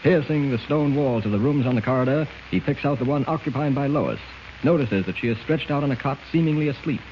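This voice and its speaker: A man's voice: medium pitch, brisk, lo-fi sound. A man narrating a 1940s radio serial in a dramatic, suspenseful, declamatory style, on an old scratchy recording. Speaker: narrator, announcer.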